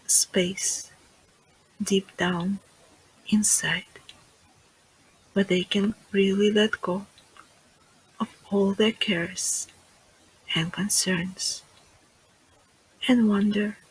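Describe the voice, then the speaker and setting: A guide leading a relaxation meditation in a soft, breathy, hushed voice that often sinks near a whisper, with soothing phrases and pauses. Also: medium pitch, rough recording.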